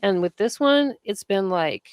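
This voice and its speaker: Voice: medium pitch, animated, a little slow, clear, resonant tone, no echo, studio quality. A podcast host interviewing a guest in a chatty, upbeat way, often filling with "like".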